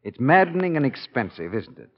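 A man working as a radio announcer, giving a polished 1940s advertising pitch. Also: sing-song, fairly quick. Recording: dull 1940s radio broadcast.

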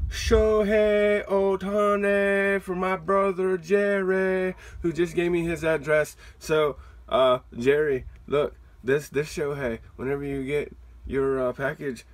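A man hosting a card-opening video with excited, playful casual commentary, given to exclamations. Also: a little high, slow. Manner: exuberant, exclaiming and whooping, playful. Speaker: host of a trading card opening channel. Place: indoors, at a table with cards.

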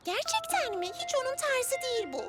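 A woman voicing an eager, caring eight-year-old girl in a children's cartoon, earnest and animated. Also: very high voice, moderate pace, over loud music. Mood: concerned.

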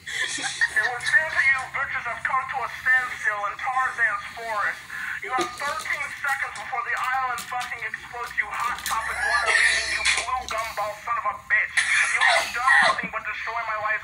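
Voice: mid-range voice, moderate pace, pauses often, lightly acted. A performer voicing a furious, foul-mouthed cartoon game villain, declaiming threats in an improvised comedy fandub. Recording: very noisy radio-like replayed audio.

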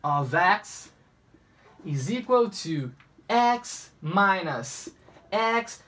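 A man's voice: high-pitched, big pitch swings, drawn-out words, fairly bright tone, recorded from a distance. A young man from Brazil with a Brazilian Portuguese accent, Portuguese his first language, working a math problem on a whiteboard and playfully talking to himself. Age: young adult. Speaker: math tutor. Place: small room at a whiteboard. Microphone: camera mic at a distance.

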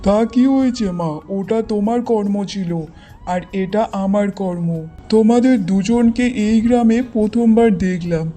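A woman plays a wise old village hermit man in a fairy-tale cartoon. The voice is soft and mellow, patient and fatherly, with music playing underneath. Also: medium pitch, normal speed, lightly acted. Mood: kindly.

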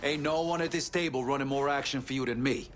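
An actor playing a wheedling middle-aged American mafioso in a game, pushy and slangy. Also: male voice, mid-range voice, quick pace, fairly bright tone, a little hiss or hum, close to an everyday voice.

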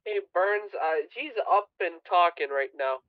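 A man's voice: sits high, a little slow, narrow, tinny audio. A man roleplaying a police officer in casual, improvised game chat. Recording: game voice chat, faint noise.

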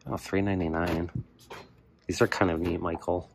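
A man's voice: deep voice, flat delivery, normal speed, vocal fry, background noise. A man giving casual, off-the-cuff commentary while browsing in a store, recorded on a handheld phone. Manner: off-the-cuff, thinking aloud. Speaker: thrifting vlogger and reseller. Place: inside a large thrift store. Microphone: handheld phone camera close by. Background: busy store ambience.

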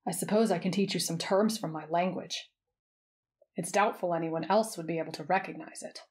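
Earnest young man, played by a female narrator, polite, formal, measured storybook dialogue, easily flustered. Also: lowish voice, steady tone, natural voice.

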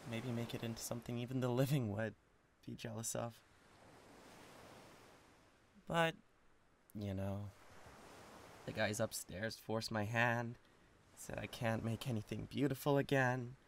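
A man's voice: medium pitch, vocal fry, slight background noise. A man voicing a lovestruck, awkward devil king of Hell in an intimate ASMR roleplay, hesitant and stammering. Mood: wistful, regretful. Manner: hesitant, stammering, swinging from tender to giddy. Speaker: audio roleplay voice actor.

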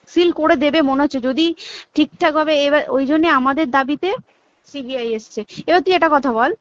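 A high-voiced woman with a West Bengal Bengali accent speaks in an agitated, insistent way on a private call. She is emphatic and keeps firing rhetorical questions. The recording is a dull, dry, lo-fi phone capture. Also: moderate pace, dry recording. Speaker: hospital staff member.

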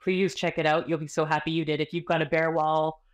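Author guest, woman in her forties, a bit low-pitched, expressive and chatty on a video call. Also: fairly quick, lo-fi sound. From Canada. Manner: expressive, storytelling, gestures a lot. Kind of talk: podcast interview conversation. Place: small home room with framed art. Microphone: video call webcam.

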